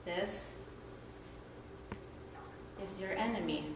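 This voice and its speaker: A woman's voice: fairly low pitch, drawn-out words. A woman performer delivering a theatrical monologue live, recorded in a reverberant, noisy space. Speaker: dance performer.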